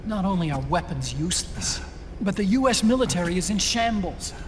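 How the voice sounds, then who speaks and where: A man's voice: sits high, expressive, brisk. A man playing a soldier's defeatist, anguished companion in a video game, pleading and emotional. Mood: despairing. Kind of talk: cinematic video game acting. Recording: clear noise behind the voice.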